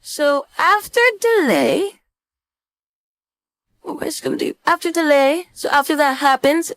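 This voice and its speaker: A woman instructor giving a casual screen-share software tutorial, informally thinking aloud step by step into a close mic. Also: high voice. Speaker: design instructor.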